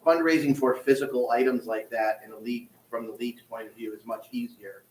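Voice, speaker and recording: A man representing a softball league, explaining plans at a town board meeting in an easygoing way with frequent restarts. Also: mid-range voice, steady tone, brisk, noisy background. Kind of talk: public meeting discussion. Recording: distant mic in echoey hall.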